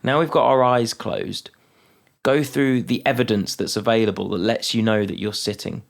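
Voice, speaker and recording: A man leading a guided mindfulness meditation, speaking calmly and unhurriedly with long pauses between phrases. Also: fairly low pitch, vocal fry.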